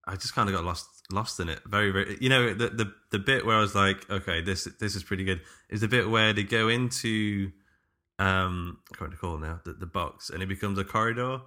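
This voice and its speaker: Man, podcast host, deep pitch, bright edge, casual, halting but keen delivery. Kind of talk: casual chat.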